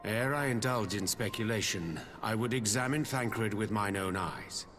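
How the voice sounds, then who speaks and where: An actor playing a grave, courtly scholar in a video game, speaking in measured, formal, archaic English. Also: male voice, fairly low pitch, a bit bright, lightly acted. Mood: grave, pensive. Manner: formal archaic diction, pensive. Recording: long cavernous reverb, music underneath.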